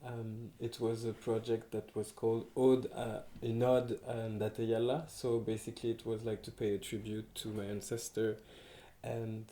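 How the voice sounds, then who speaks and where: A man's voice: lowish voice, little pitch movement, moderate pace, dark tone, a little hiss or hum. Hesitant French-accented man in echoey room. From France, Paris. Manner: hesitant, many fillers and restarts, laughs often. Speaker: textile artist, guest. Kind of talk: casual conversation. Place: small farmhouse room, indoors.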